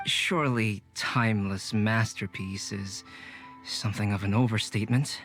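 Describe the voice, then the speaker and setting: An actor playing a calm, courteous young male alchemist and artist who speaks softly and measuredly. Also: lowish voice, soft background music, lightly acted. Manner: soft-spoken, measured, courteous. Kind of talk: game voice acting.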